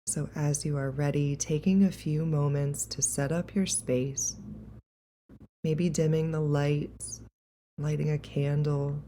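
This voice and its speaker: A woman leading a guided meditation in a calm, gentle, unhurried and soothing way. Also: low-pitched, a little hiss or hum.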